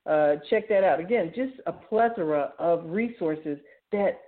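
A woman's voice: fairly low pitch, a little slow. A woman hosting a show, talking informally and enthusiastically as she walks listeners through announcements in a conversational way. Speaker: radio show host. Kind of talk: informal solo talk, announcing articles and events. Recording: thin, phone-like narrow-band sound.

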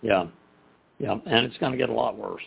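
A man from Texas and Oklahoma, a guest on a talk radio show, hoarse and losing his voice, wry and skeptical. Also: lowish voice, normal speed. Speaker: guest journalist. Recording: tinny phone line.

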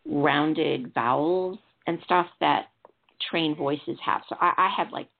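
Woman, host, from Chicago, chatty, digressive, lowish voice, phone-like sound. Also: takes it slowly, gravelly. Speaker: show host. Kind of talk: casual chat on a call-in show.

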